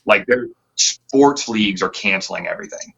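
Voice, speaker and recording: A man's voice: on the low side, moderate pace, creaky, bright, forceful tone, husky, dull audio. A man from the Pacific Northwest, a band member, chatting as a guest in a loose, rambling, earnest way. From Pacific Northwest, near Tacoma. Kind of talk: casual interview chat.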